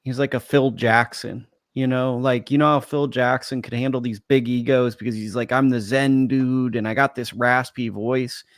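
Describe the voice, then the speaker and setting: A man on a casual boxing talk show, relaxed and analytical, who reasons things out at length. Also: medium pitch, normal speed, hardly any pauses, no echo, studio quality. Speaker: podcast panelist. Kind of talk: casual panel chat.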